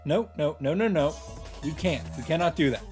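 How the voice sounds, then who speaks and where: A man's voice: on the high side, normal speed, darkish tone. A man reading a visual novel's first-person narration aloud in an earnest, dramatic way and commenting as he goes. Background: game music.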